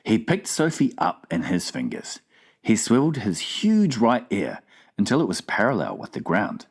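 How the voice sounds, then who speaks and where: A woman's voice: very deep voice, wildly swooping pitch, moderate pace, darkish tone, breathy edge. A woman narrating a children's audiobook in a dramatized storytelling style.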